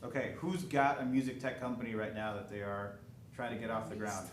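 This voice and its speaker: A man moderating a panel discussion, relaxed, telling stories and swearing casually. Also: fairly low pitch, brisk. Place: event hall. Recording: echoey hall, distant mic, noisy.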